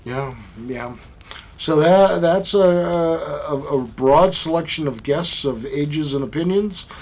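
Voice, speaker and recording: A man co-hosting a casual podcast chat, talking in halting bursts full of fillers and restarts. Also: on the high side, a little mellow. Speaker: podcast co-host.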